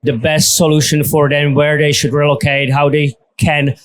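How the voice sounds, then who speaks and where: Panelist, man, slightly high voice, hesitant unscripted delivery. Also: flat delivery. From Czech Republic. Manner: halting, many fillers and restarts. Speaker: panelist, relocation consultant. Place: conference stage.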